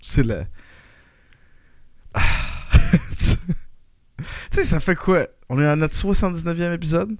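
A man's voice: a little high, lively intonation, unhurried, pauses often, no room sound, studio quality. A man in his thirties with a Quebec French accent hosting a casual podcast, rambling with restarts and laughs. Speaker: podcast host.

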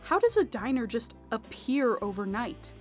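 A woman's voice: on the high side, big pitch swings, moderate pace, faint music behind. A young woman acting in an audio drama as a skeptical, grieving daughter who mutters to herself in disbelief. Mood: baffled.

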